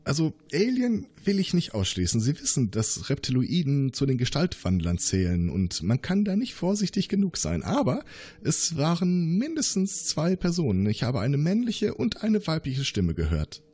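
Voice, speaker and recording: A man's voice: fairly high pitch, huge pitch swings, normal speed, a little mellow, breathy edge, exaggerated voice. A man voicing a paranoid male scientist in a tabletop game, nervous and contradicting himself. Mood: evasive. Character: paranoid male scientist, conspiracy-minded, evasive. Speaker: player voicing a suspect.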